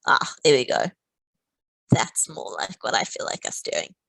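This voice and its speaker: A woman's voice: measured pace, fairly bright tone, dry recording, studio quality. A woman teaching a live online maths lesson, playful and teasing, walking through each step.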